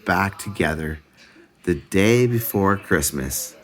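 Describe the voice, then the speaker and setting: Slow, animated male storyteller. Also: deep voice. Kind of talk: children's storybook read-aloud.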